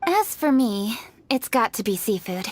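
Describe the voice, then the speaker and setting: A woman voicing an earnest, scholarly young official in a video game, speaking briskly and clearly. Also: on the high side, sing-song, no echo.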